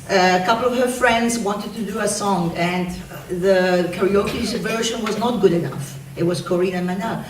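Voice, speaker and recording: A woman, a French teacher, giving an award speech at a school assembly and praising a student with feeling. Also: mid-range voice. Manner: warm, emotional praise of a student. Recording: echoey hall, far from the mic, noisy.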